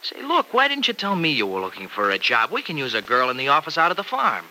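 A very bright, forceful voice that swings freely in pitch: an earnest young American farm fellow in an old radio drama. He is folksy, slangy and teasing. The old recording carries a faint hiss. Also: huge pitch swings, fast talker, heavily acted, poor audio. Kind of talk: mid-century American radio drama acting.

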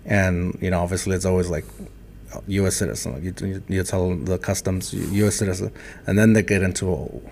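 Host, man, low-pitched, slight Mexican-Texan accent, halting, reflective delivery. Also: fairly even intonation, gravelly, a little mellow, a little hiss or hum. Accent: Mexican-influenced Texan. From Texas border town. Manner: hesitant, many fillers and restarts, reflective. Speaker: podcast host. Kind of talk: casual interview talk.

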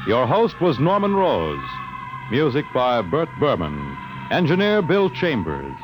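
A man's voice: mid-range voice, wildly swooping pitch, a little slow, over music. A man reading closing credits as a 1950s radio announcer. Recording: noisy vintage radio recording.

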